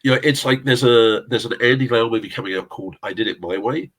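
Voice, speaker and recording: A middle-aged man with a South London English accent tells stories in a loose, rambling way, breaking into laughs and the odd swear word. His voice is husky and slightly breathy, at a middle pitch for a man. It sounds like a casual interview over a video call. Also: fairly even intonation, fast, a bit bright. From England. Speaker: interview guest, casting director and actor. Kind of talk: casual chat.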